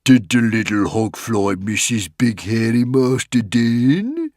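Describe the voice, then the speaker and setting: A man voicing a big, tattooed warrior chieftain who booms slowly. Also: big pitch swings, a little mellow, no echo, studio quality. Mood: booming. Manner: booming, drawn-out, then cooing. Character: huge tattooed male warrior chieftain, booming.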